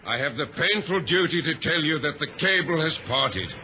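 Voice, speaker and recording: Ship's captain: grave, formal, commanding, fairly high-pitched, vintage radio drama. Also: male voice, animated, normal speed, pushed and bright, highs cut off, slight background noise, exaggerated voice. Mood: solemn, urgent. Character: grave male ship's captain facing disaster. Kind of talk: old-time radio drama acting.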